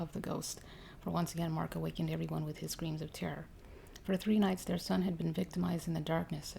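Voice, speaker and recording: A woman reading a ghost story aloud in a hushed, measured ASMR style, with occasional stumbles. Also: deep voice, vocal fry, slight background noise, poor audio. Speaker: story reader.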